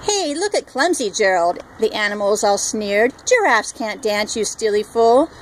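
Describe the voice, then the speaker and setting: A woman's voice: mid-range voice, very animated, a little slow, rough recording. A woman reads a rhyming children's picture book aloud outdoors. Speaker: storybook co-reader. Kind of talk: rhyming storybook read-aloud. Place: outdoors in a park. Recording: outdoor recording with faint traffic noise. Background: faint outdoor and vehicle noise.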